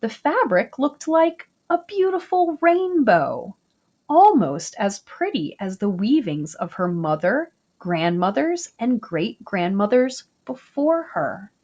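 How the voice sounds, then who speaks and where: A woman reading a children's picture book aloud, slow, gentle and expressive. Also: on the high side, muffled recording. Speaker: storybook reader.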